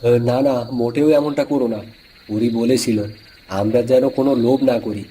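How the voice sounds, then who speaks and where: A man's voice: mid-range voice, fairly even intonation, fairly quick, warm tone, husky, close to an everyday voice. A man voicing an honest, humble village tea seller and husband in a cartoon moral tale, with a plaintive, earnest delivery. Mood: reluctant. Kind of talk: Bengali cartoon moral-story voice acting.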